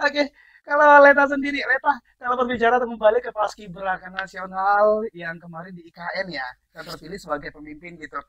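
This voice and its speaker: A man's voice: sits high, a little fast, a bit bright, no echo, poor audio. Brief: male podcast host with a Batak accent, casual and playful, teasing interviewer. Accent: Batak Indonesian. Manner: playful, teasing, eager questions.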